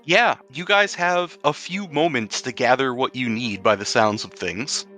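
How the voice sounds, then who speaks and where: A man's voice: mid-range voice, a little slow, bright, forceful tone, faint music behind. A man improvising narration as the game master of a tabletop role-playing session.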